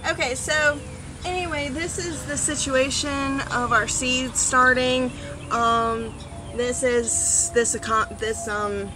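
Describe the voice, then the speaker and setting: A woman's voice: high voice, takes it slowly. Chatty woman vlogging outdoors over music. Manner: chatty, with many ums and animated asides. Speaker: gardening vlogger. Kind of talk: casual vlog. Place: outdoors on a front porch by a yard. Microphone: phone or camera on a small tripod.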